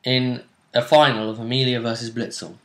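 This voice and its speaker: A man's voice: medium pitch, flat delivery, brisk. A man hosting a tabletop role-play tournament show, talking casually as he comments and speculates.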